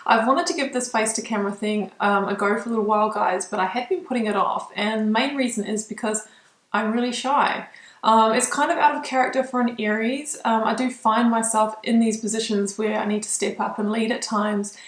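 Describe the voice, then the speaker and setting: Woman, mixed New Zealand accent, tarot reader, soft and reflective, frequent ums, home studio, roomy echo. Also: fairly high pitch, fairly even intonation, a little fast. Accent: mixed New Zealand, with British and Australian traces. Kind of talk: intuitive tarot reading to camera. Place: small home studio in a spare room.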